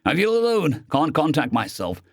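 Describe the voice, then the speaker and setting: A man acts out an alien parasite wearing a human body in an audio story. He is gleeful, greedy and flippant, swooping excitably upward in pitch. The sound is dry and close. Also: moderate pace, slightly rough, no echo, full character voice. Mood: flippant, musing. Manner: excitable, flippant, foul-mouthed. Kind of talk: first-person audio story dialogue.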